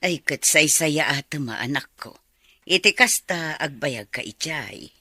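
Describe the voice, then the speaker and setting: Casting: obliging elderly mother and grandmother, forward bright voice, very dry studio sound, radio drama. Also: deep voice, animated, unhurried, husky, dry recording, heavily acted. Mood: caring. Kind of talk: Ilocano radio drama acting.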